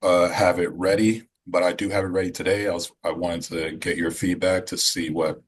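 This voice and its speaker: A man calling in for feedback on a group call, hesitant and filling pauses as he speaks. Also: low voice, flat delivery, slight background noise. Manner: hesitant, many ums and uhs. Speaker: caller on a support call. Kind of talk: casual chat on a call.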